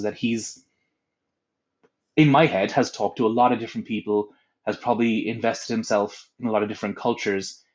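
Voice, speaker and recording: A man's voice: medium pitch, darkish tone. Rambling man, filler-heavy chat. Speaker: audiobook voice actor. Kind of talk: casual interview chat.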